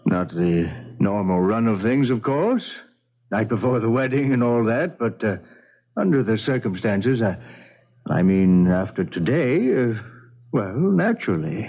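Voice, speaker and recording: An actor playing a gruff, commanding old colonial father in a radio drama, halting and blustering. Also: fairly low pitch, very animated, heavily acted. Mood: awkward bluster. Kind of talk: 1970s radio drama acting. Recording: dull 1970s radio recording.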